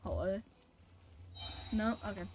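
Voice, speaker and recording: A woman live-streaming a video game, commenting casually and excitably with mild swearing. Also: mid-range voice, slow, slight background noise.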